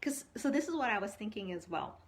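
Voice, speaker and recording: A woman speaking as a coach on a casual livestream, conversational and animated, telling personal anecdotes and giving advice while often checking for agreement. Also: mid-range voice, fairly quick. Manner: animated, conversational, acts out quoted thoughts and asks for agreement. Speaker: coach hosting a live stream.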